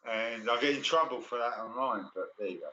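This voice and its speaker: A man in his thirties speaks bluntly and swears now and then in casual chat. His voice is mid-pitched and comes across bright and sharp. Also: a little fast, dull audio, slight background noise. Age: 30s.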